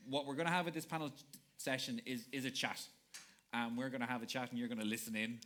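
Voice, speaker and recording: A man's voice: mid-range voice, steady tone, quick pace, a bit bright. A man hosting a live panel in front of an audience. He jokes and rambles with lots of fillers and restarts. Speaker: panel moderator. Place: event hall with audience.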